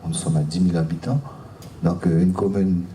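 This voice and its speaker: A man's voice: low voice, fairly even intonation, moderate pace, mellow. A man from French Guiana giving public remarks at a seminar as a local elected official. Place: seminar room, recorded from a distance. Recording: roomy, distant mic, faint noise.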